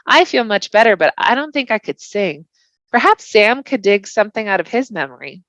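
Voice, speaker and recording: A woman voicing a gentle, wry male hobbit traveler with a mild manner, in a storybook. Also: mid-range voice, very animated, fairly quick, dry recording, lo-fi sound. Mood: reassuring.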